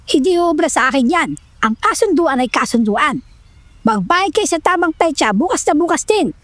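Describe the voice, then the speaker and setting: A woman's voice: high-pitched, animated, normal speed, fairly bright tone, no room sound. A woman playing an angry middle-aged Filipino landlady in an audio family drama, scolding and threatening over unpaid rent. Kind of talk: Filipino radio-style family drama acting.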